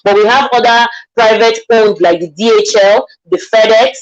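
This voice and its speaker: A woman's voice: fairly high pitch, moderate pace, lo-fi sound. A Nigerian woman, a makeup artist, explaining things earnestly in a live online interview and often checking that she is understood. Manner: earnest, repeats herself, asks "do you understand".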